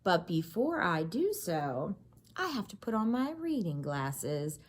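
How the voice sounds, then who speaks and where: A woman with a husky voice reading a children's story aloud expressively, pausing to explain and ask questions. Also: lowish voice. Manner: expressive, explains and asks questions to young listeners. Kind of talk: animated read-aloud of a children's story with asides.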